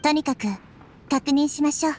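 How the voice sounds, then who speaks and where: A woman voicing a gentle, wise, childlike girl deity in an anime video game, speaking in a measured, explanatory way. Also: high voice, level tone, slight background noise, lightly acted. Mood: composed. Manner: measured, soft-mannered, explanatory.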